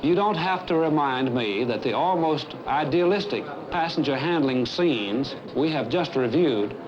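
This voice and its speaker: An American man in his fifties delivers a formal staff address as a company executive. His voice is fairly high and very animated, with earnest, deliberate emphasis. It comes off a muffled 1970s film soundtrack with faint noise. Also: a crowd in the background. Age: 50s. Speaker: airline executive presenting a staff training film.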